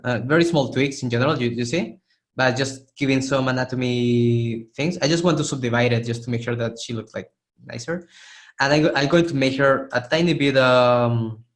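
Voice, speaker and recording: A man teaching a live online art webinar, hoarse and losing his voice, who keeps clearing his throat and gives casual, encouraging feedback with frequent restarts. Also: medium pitch. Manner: casual, encouraging, restarts phrases while demonstrating. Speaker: art instructor giving feedback. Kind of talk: live webinar critique of 3D sculpts.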